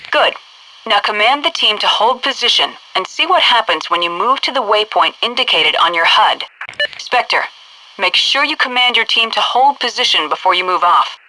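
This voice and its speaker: An American military mission controller in a video game. Her voice is strikingly bright and forceful at an average pitch. She gives brisk, authoritative briefings and instructions over a faintly noisy recording. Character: brisk female military headquarters mission commander. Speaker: mission control radio dispatcher and tutorial guide.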